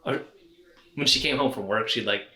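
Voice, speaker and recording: A man's voice: fairly low pitch, moderate pace, pushed and bright. A young man co-hosting a podcast in casual chat, talking in a hesitant, fumbling, offhand way. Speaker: podcast co-host. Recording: roomy, echoey room.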